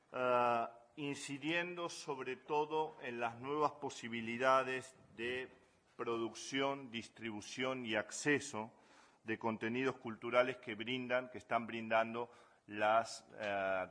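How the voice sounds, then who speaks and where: A man from Buenos Aires with an Argentine Spanish accent, giving an academic conference talk in a measured way with frequent hesitations. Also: medium pitch, fairly bright tone. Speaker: conference speaker. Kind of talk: academic lecture.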